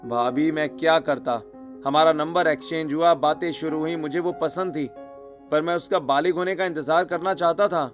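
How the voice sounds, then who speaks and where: A fairly high male voice from a man acting plays a troubled young husband with a short temper in a cartoon story. He sounds emphatic and complaining, over faint music. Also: fairly even intonation, moderate pace, lightly acted. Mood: troubled, defensive.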